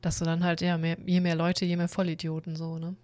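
A woman's voice: on the low side, completely flat delivery, fairly quick, dark tone, dry recording. A woman chatting casually, rambling and telling stories with animation. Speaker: former university staff. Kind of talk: casual podcast chat.

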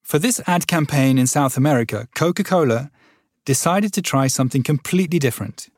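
A man's voice: medium pitch, animated, fairly quick, no room sound, clean studio sound. A man hosting a podcast, narrating a scripted intro and then asking questions conversationally with fillers. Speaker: podcast host.

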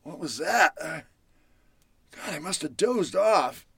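A woman's voice: low-pitched, animated, takes it slowly, vocal fry, breathy edge, dry recording, studio quality, full character voice. An actress playing a frightened, groggy woman trapped at home, in old-time radio horror style, stammering and dramatic. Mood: drowsy. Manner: stammering, breathless exclamations, dramatic.

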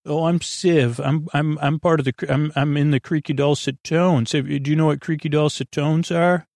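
Male performer as soothing, hesitant robot companion in a bedtime story, agreeable, meandering, creaky. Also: a little high, fairly even intonation, normal speed, a little mellow, no room sound, studio quality, natural voice. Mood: friendly rambling.

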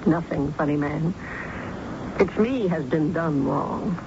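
A woman's voice: deep voice, slow, gravelly, exaggerated voice. An actress with an American accent playing a hard-bitten, ambitious working mother and deserted wife in an old-time radio drama. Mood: bitter, wry. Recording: dull, very noisy old radio broadcast.

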